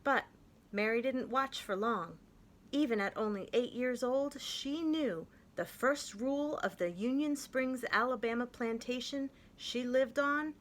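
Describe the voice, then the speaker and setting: A woman reading a children's picture book aloud, with gentle, expressive and clear storytelling. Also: a little high.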